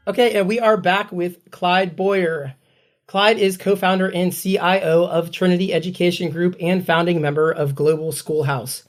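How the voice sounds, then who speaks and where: A man co-hosting a podcast, his voice scratchy from a lingering cold, chatting in a relaxed, rambling way and reading a guest introduction. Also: fairly high pitch. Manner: relaxed, rambling, chuckles often. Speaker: podcast co-host. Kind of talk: casual podcast chat.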